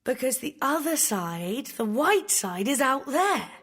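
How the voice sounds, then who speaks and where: A woman's voice: high voice, big pitch swings, unhurried, fairly bright tone, breathy edge, exaggerated voice. An actress playing a young female companion in a sci-fi audio drama adventure. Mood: alarmed.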